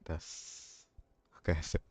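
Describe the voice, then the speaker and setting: A man streaming a game, reacting casually in short remarks on a lo-fi mic. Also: slow.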